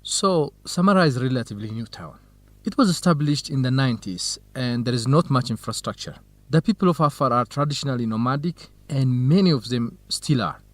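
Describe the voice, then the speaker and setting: Actor doing kind young guy in a radio play, careful english, medium pitch, dry studio sound. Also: lively intonation, brisk, dry recording, lightly acted. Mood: matter-of-fact. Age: young adult. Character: Khalid, young Ethiopian student researcher, kind, earnest, helpful friend. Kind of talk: radio drama dialogue for English learners.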